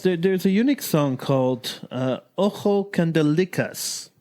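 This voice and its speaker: A man's voice: on the high side, expressive, measured pace, a little mellow. A man, hosting and interviewing on talk radio. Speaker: radio host.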